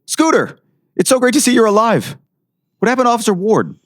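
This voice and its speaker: Man playing a fast-talking folksy small-town sheriff. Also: extremely high pitch, big pitch swings, no room sound, clean studio sound. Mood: delighted. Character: folksy, earnest small-town male sheriff, fond of his dog. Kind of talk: comic audio drama acting.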